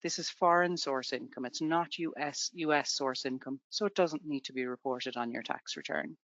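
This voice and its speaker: A woman's voice: extremely low pitch, moderate pace, a bit bright, dry recording, highs cut off. A woman from Ireland with an Irish accent, presenting a tax webinar to students in a conversational way with everyday examples.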